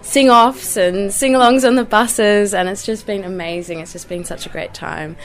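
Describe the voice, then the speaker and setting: A woman's voice: mid-range voice, fairly even intonation, normal speed. Young woman, Cork Irish accent, gushing casual interview talk. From Ireland. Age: young adult. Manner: enthusiastic, repeats "amazing". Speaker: pageant contestant.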